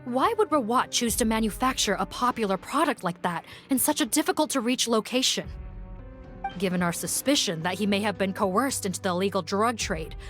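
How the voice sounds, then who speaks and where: A woman's voice: on the high side, close to an everyday voice. An actress playing a serious young woman police captain in a video game, composed and decisive as she gives orders.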